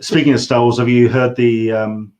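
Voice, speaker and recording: Halting English male interview host. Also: medium pitch, little pitch movement, a little mellow. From England. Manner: halting, searching for words. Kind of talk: casual livestream interview.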